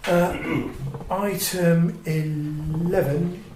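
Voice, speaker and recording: A man's voice: on the high side, steady tone, very slow. An English man chairing a formal council meeting in a polite, procedural and somewhat hesitant way. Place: indoor parish council meeting room. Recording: echoey meeting room, clear background noise.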